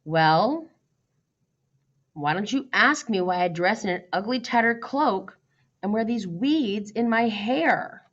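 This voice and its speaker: A woman's voice: mid-range voice, animated, takes it slowly, a bit bright, dull audio. A woman voicing a spirited, headstrong young princess in a storybook, bold and teasing, with emphatic questions. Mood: impatient. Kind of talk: storybook character voice.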